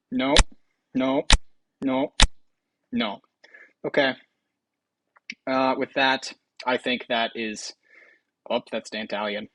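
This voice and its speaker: A man's voice: mid-range voice, a bit bright, no room sound, rough recording. A man hosting a gaming video with casual commentary and tongue-in-cheek, mock-grandiose announcements.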